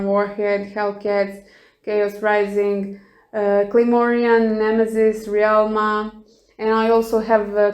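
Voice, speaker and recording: A woman's voice: mid-range voice, a little mellow, echoey room. A woman from Serbia with a Serbian accent, answering interview questions as a guitarist.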